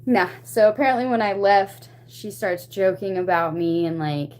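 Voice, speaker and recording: A woman with a medium-pitched voice tells a personal story to her livestream viewers. Her delivery is casual and chatty. She gets animated as she goes, in the loose manner of someone talking to friends. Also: normal speed. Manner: animated, chatty retelling with acted-out quotes. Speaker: livestream host. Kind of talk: casual anecdote to stream viewers.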